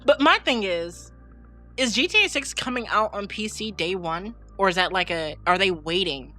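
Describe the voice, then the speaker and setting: A woman's voice: medium pitch, animated, normal speed, sharp tone, soft background music. A woman co-hosting a gaming podcast in casual, slangy, emphatic chat, sounding like she has a slight head cold. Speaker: podcast co-host and game streamer.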